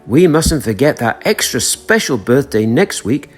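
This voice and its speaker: A man's voice: medium pitch, sing-song, measured pace, breathy edge, faint music behind. A man voicing a cheerful, teasing friend from a children's storybook.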